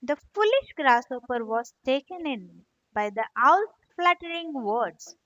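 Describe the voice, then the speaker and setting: High, animated woman reading aloud to children. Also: a little slow, no room sound, low-quality recording. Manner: expressive, teacherly, addresses children directly. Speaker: storyteller for children. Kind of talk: read-aloud moral story narration.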